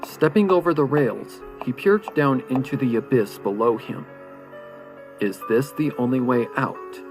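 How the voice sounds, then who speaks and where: A man narrating a horror story in a suspenseful, theatrical way. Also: medium pitch. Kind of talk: dramatic horror fan-fiction narration. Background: faint music.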